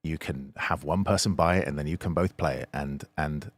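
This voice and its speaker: A man's voice: rumbling low, normal speed. A man from the UK co-hosting a podcast, chatting casually with emphatic repetition for stress. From United Kingdom. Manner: emphatic, repeats words for stress. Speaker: podcast co-host. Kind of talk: casual opinionated chat.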